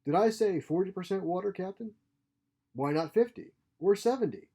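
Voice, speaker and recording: A man's voice: high-pitched, animated, moderate pace, mellow, pitched above the performer's own voice. A man voicing a genial grandfatherly scientist for a story, courteous, proud and theatrical in manner. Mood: proud, enthusiastic. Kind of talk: storybook character voice.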